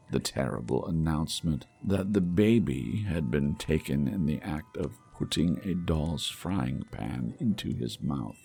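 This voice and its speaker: A man reading a classic novel aloud in a measured, expressive storytelling manner. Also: low-pitched, creaky, husky. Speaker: audiobook narrator. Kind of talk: scripted narration of a classic novel.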